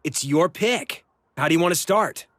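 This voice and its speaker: An actor playing the eager, upbeat best buddy of a rookie racer in a video game, casual and enthusiastic. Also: male voice, on the high side, expressive, moderate pace, fairly bright tone, no room sound. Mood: excited, encouraging. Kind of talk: video game cutscene acting.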